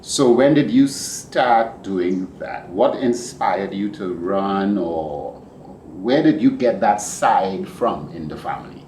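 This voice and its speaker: Man, podcast host, low voice, casual and rambling, roomy echo, faint noise. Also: takes it slowly. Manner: animated, rambling, laughs easily. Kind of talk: casual interview. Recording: echoey room with background noise.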